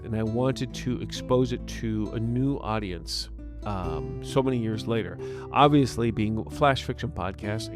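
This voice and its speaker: A man speaking as the host and narrator of a fiction podcast. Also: medium pitch. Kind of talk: scripted story narration and informal author's notes.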